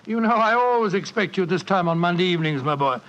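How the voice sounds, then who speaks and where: An actor playing a genial, fussy elderly British doctor who reminisces in 1940s radio drama style. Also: male voice, high voice, lively intonation, fast talker, a bit bright. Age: older. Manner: avuncular, fussy, genteel. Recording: muffled vintage 1940s radio broadcast.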